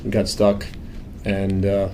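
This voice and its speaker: A man's voice: deep voice, completely flat delivery, slow pace, mellow, slight background noise. A man from Croatia with a Croatian accent, a firm owner talking plainly and earnestly about his work in a casual interview, with frequent ums. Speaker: financial planning firm owner.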